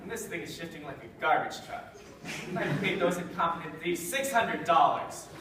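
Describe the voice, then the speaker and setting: A man's voice: on the high side, moderate pace, natural voice. A young man playing an oblivious, grumbling boyfriend with blunt, exaggerated indignation in a readers' theater comedy. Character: oblivious, grumbling boyfriend fixated on his car. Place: school chapel stage before an audience. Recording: very echoey hall, distant mic, faint noise.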